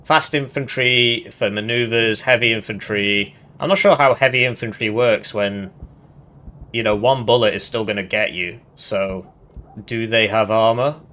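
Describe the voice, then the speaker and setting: Man, nasal, lowish voice, live stream commentary, reads game text with offhand remarks. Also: a little slow, pushed and bright. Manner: reads game text aloud with casual asides.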